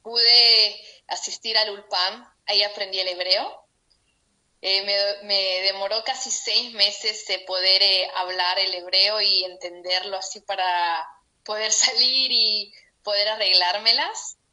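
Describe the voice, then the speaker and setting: A woman's voice: medium pitch, fairly even intonation, takes it slowly, pushed and bright, low-quality recording. A young Peruvian woman telling her story over a video call, heartfelt and laughing now and then. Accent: Peruvian Spanish. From Peru. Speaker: interviewee. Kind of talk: personal account in an interview.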